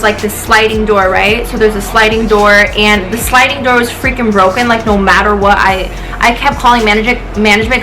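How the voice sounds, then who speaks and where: An American woman in her late twenties chats casually as a vlogger. She rambles through a personal story with slang and swearing, at an ordinary pitch, with music clearly playing behind her. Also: low-quality recording.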